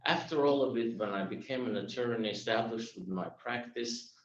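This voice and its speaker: A man's voice: medium pitch, moderate pace. A man in his fifties from Armenia, Armenian his first language, giving an earnest personal speech at a club meeting. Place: room on a video call. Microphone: video call mic. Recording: echoey, dull, from far off.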